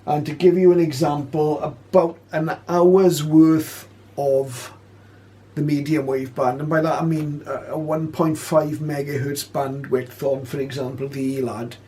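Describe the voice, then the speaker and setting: A man presenting an informal spoken tutorial, explaining step by step with hesitant pauses. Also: fairly high pitch, little pitch movement, dark tone, breathy edge. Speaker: presenter of a shortwave radio tutorial.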